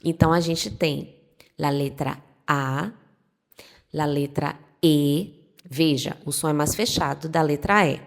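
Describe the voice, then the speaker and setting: A woman's voice: low voice, fairly even intonation, slow, creaky. A young woman teacher with a Northeastern Brazilian accent, giving a casual video lesson and explaining patiently, step by step. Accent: Northeastern Brazilian Portuguese. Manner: friendly, reassuring, explains step by step. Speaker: Spanish teacher.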